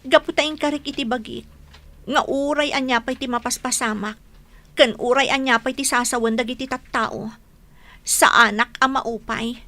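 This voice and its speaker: A woman's voice: high voice, steady tone, moderate pace, bright, forceful tone. An actress playing a defiant, lovestruck daughter in a radio drama, pleading earnestly and emphatically for her love. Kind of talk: Philippine radio drama acting.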